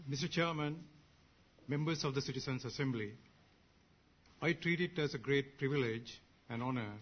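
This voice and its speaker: A man's voice: mid-range voice, slight background noise. Sinhalese-native man from Sri Lanka, formal assembly speech, muffled lo-fi recording. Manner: measured, ceremonious, short phrases. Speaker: assembly member.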